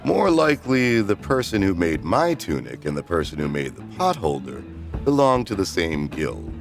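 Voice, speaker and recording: A man acts an inquisitive alien dignitary in an audio drama. He is formal and knowing, with a fairly low, highly expressive voice over loud music. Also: normal speed. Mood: courteous.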